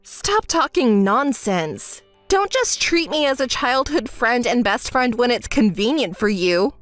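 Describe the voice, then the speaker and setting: A woman voicing a calm, reproachful young ex-wife in a melodramatic story, in a cool, measured, dismissive manner. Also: a little high, no echo, soft background music, pitched below the performer's own voice. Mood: stern, reproachful.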